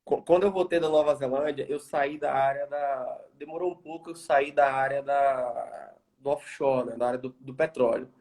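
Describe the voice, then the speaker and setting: A man with a Bahian accent, a guest speaking in a casual interview, relaxed and slangy, often restarting his sentences. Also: medium pitch, moderate pace. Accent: Bahian Brazilian Portuguese. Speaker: guest, environmental engineer.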